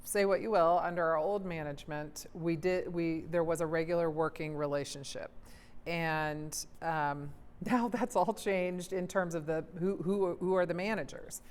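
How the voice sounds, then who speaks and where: A middle-aged woman with a low voice speaks casually as a mayor on a panel. The room is a hall that leaves a long echo on her words. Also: steady tone, normal speed, a little mellow, clean tone. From born in Denmark. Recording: echoey hall reverb.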